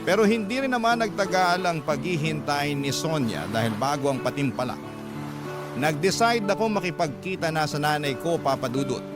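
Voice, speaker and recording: A man with a Filipino accent reading a scripted first-person story as the narrator of a radio drama. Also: fairly high pitch. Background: prominent background music.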